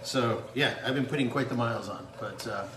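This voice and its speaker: A middle-aged man chats as a guest on a cooking stream, talking warmly and plainly about wine and simple food. His pitch is average. The room is echoey, and kitchen clatter and noise can be clearly heard around him. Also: a little fast. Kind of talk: casual chat. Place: home kitchen.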